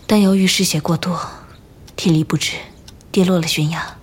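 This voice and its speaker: An actress playing a guarded, haunted and quietly determined woman in a modern audio drama. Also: on the low side, fairly even intonation, normal speed, slight background noise, lightly acted. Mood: bitter, haunted. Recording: voice under prominent background music. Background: music.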